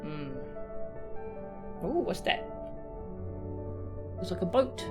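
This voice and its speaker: A woman's voice: on the low side, sing-song, drawn-out words, over music. A woman streamer chatting casually between game dialogue, with stuttering asides and trailing murmurs into a desk mic.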